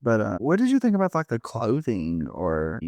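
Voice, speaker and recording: A man's voice: medium pitch, wildly swooping pitch, darkish tone, no room sound. A man chatting on a school podcast, asking questions and restarting his sentences often. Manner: halting, repeats words while searching. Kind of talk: casual chat.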